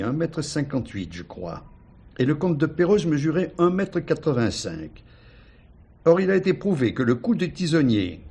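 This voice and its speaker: Thoughtful older retired judge, clear-voiced. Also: male voice, fairly high pitch, darkish tone, exaggerated voice. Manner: hesitant, reflective, formal storytelling. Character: thoughtful retired judge uncle haunted by doubt.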